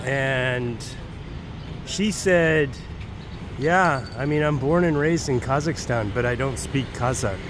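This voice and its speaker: A man's voice: mid-range voice, slow pace. A Canadian man vlogging casually while walking a street, rambling and chatty with many fillers. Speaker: travel vlogger. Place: busy street outdoors. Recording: noisy street recording. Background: loud traffic.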